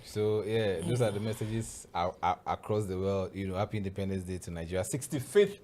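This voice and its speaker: A man's voice: sits low, fairly quick, slight background noise. A Ghanaian man hosts in loose, casual commentary. He rambles a little and leans on fillers like "you know" and "so, yeah.". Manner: relaxed, rambling with repeated fillers. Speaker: show host.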